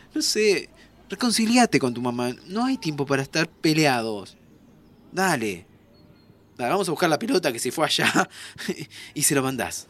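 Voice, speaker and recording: A man's voice: a little high, sing-song, unhurried, fairly bright tone, heavily acted. An actor playing a joking, encouraging young man from an audio drama, with a Rioplatense Argentine accent and a teasing, colloquial way of talking. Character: joking, encouraging young man, dead friend visiting the future. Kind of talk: naturalistic audio drama acting.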